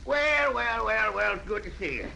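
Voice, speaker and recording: Hearty, gravelly, high-pitched old uncle. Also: male voice, lively intonation, normal speed, bright, forceful tone, heavily acted. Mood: jovial. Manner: effusive, repeats himself. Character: hearty, effusive visiting uncle, older man. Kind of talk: 1940s radio acting. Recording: hissy 1940s radio recording.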